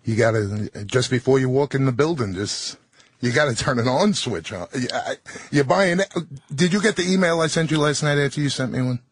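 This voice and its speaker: A man's voice: mid-range voice, a little fast, breathy edge, no echo. A man from Brooklyn, a guest in a radio studio, stammering as he gives blunt pep-talk advice.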